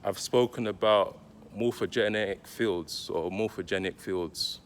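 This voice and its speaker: Slow-talking man giving spiritual advice. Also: on the low side, smooth. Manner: slow, deliberate, reflective delivery with pauses. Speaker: spiritual content creator. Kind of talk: casual spiritual advice monologue.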